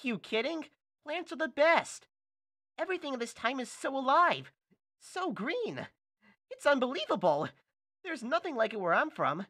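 A man voicing an earnest, excitable young hedgehog hero with an eager delivery. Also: extremely high pitch, a little slow, voice pushed up, full character voice. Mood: excited. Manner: eager, exclaiming.

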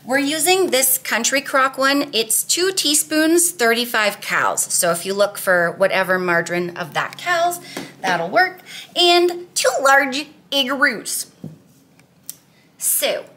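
Bright, chatty woman cooking at home. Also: sits high, lively intonation, slow pace, a little hiss or hum. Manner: chatty and upbeat, lots of "like". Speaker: weight-loss cooking vlogger. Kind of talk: casual recipe walkthrough. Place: home kitchen, indoors.

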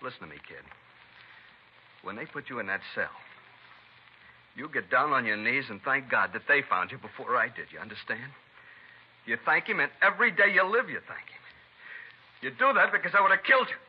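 An actor playing a bitter, grieving American husband in a 1950s radio drama, intense, pleading and accusing. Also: male voice, medium pitch, animated, brisk, pauses often, slightly rough. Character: grieving, bitter widowed husband confronting the killer. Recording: muffled vintage radio recording, faint noise.

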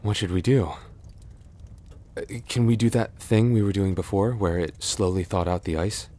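A man playing a cursed, lovelorn young prince in a roleplay audio drama, halting and formal in his speech. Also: low-pitched, fairly even intonation, mellow, natural voice. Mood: anxious, determined. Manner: halting, breathy sighs and gasps, formal archaic phrasing.

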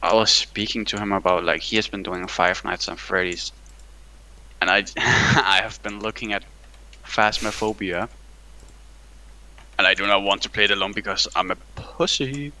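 A man's voice: lowish voice, normal speed, bright, forceful tone, rough recording. A man co-hosting a gaming channel, chatting casually and bluntly, teasing his friend and laughing often. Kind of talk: casual chat while playing.

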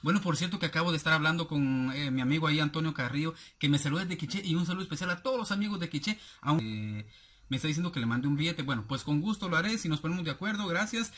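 A man in his thirties with a Guatemalan accent and a husky voice, chatting casually as a vlogger and reading out comments with familiar, rambling asides. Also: a little high, expressive, rapid-fire, fairly bright tone. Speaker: YouTube vlogger. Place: small cluttered room indoors at home.

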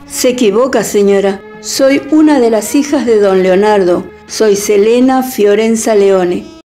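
A woman playing a proud, sharp-tongued young woman in a radio drama, with a Rioplatense Spanish accent. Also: mid-range voice, natural voice. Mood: cool ironic. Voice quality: cool, ironic, measured. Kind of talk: online radio drama acting. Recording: faint music underneath.

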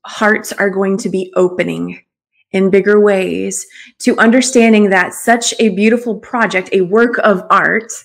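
A woman's voice: mid-range voice, fairly even intonation, unhurried, vocal fry. A woman in her forties hosting a conversational interview, speaking in an encouraging, affirming way. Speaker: show host, confidence coach. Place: small room at home, on a video call.

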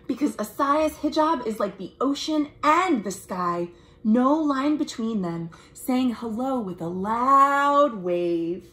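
A young American woman reads a picture book aloud to children, expressive and deliberate, with friendly asides like a storytime librarian. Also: on the high side, poor audio. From United States. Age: young adult. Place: small room at home.

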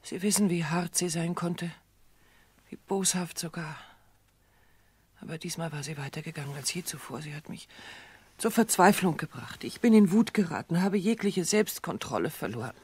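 Husky, guilt-ridden daughter. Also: female voice, lowish voice, expressive, moderate pace, darkish tone. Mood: distressed, bitter. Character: guilt-ridden, bitter grown daughter of a domineering mother. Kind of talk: German radio play acting.

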